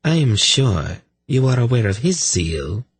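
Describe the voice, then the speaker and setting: A man's voice: medium pitch, sing-song, a little slow, slightly rough, no room sound, voice pushed up, exaggerated voice. A man voicing a cruel, imperious priestess with a throaty purr in a fantasy audiobook. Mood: smug menace. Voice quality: throaty, almost purring. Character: cruel, scheming priestess, imperious and smugly menacing.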